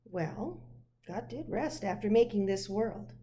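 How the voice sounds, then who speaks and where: A woman voicing a gentle, patient parent answering a child in a measured, reassuring way, from a picture book. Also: medium pitch, lively intonation, a little hiss or hum.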